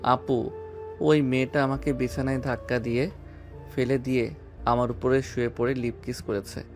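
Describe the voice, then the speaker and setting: A man's voice: mid-range voice, level tone, normal speed, music in the background, lightly acted. A man playing a frightened, put-upon young man in an audio love-story drama, complaining and pleading. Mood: aggrieved, pleading.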